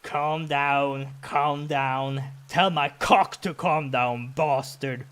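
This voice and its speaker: A man's voice: fairly high pitch, steady tone, very slow, a bit bright, smooth. A man voicing a proud, hot-tempered male adventurer who speaks with exasperated, indignant emphasis. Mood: strained self-control. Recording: music behind the voice.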